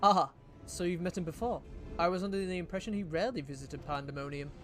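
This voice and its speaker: A British man reading the video game part of a polite, inquisitive adventurer in a formal, measured way. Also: high voice, over music, lightly acted. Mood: polite curiosity. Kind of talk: live read of video game dialogue.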